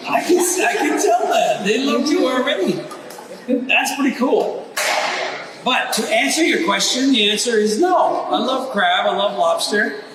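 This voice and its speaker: High-voiced joking guy hosting a cooking demo, sounds far from the mic. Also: expressive, unhurried. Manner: joking, playful. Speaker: cooking show host, chef. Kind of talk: casual live chat. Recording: echoey room, far from mic, loud background noise.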